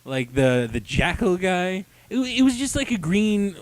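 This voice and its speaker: A man's voice: high-pitched, big pitch swings, moderate pace, dry recording. A young adult man hosting a casual, profane review chat, talking in a crude, opinionated, exaggerating way. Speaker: comic review show host.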